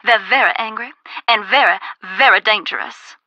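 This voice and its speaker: An actress playing a folksy, cautious former ghost hunter in a video game, with a Southern US accent and a drawling, cautionary manner. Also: female voice, on the high side, normal speed, sharp tone, dry recording, dull audio. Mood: grave warning. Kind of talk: video game dialogue, conversational phone-call acting.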